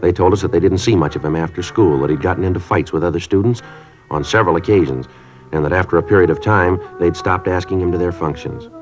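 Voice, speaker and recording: An actor playing a stern middle-aged police sergeant who narrates in a clipped, matter-of-fact way. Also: male voice, deep voice, fast talker, close to an everyday voice. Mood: flat narration. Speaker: detective narrator. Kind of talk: 1950s radio acting. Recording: dull old radio recording with music.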